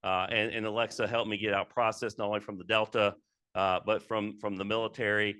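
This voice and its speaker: A middle-aged man, a retiring military officer, giving an informal ceremony speech in a loud voice, with many fillers and restarts. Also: on the low side, flat delivery, a little fast, no room sound. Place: indoor ceremony venue.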